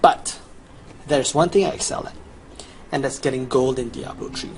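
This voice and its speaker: A man's voice: mid-range voice, moderate pace, rough recording. A young man with a Chinese accent, boasting through a promotional gameplay voice-over as he lists his gear and stats. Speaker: gamer promoting a gold guide.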